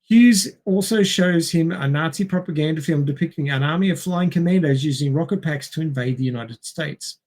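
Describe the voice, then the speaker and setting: A man's voice: fairly high pitch, moderate pace, hardly any pauses, a little mellow. A man co-hosting a film podcast, reading narration and chatting casually with wry, dryly joking asides. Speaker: podcast co-host.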